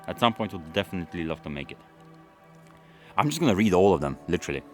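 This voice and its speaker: Vlogger, male, from London, Russian his first language; deep, easygoing, meandering Q&A talk. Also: fast, faint music behind. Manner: relaxed, rambling, with frequent fillers and restarts. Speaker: automotive YouTuber. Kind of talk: casual Q&A chat to camera.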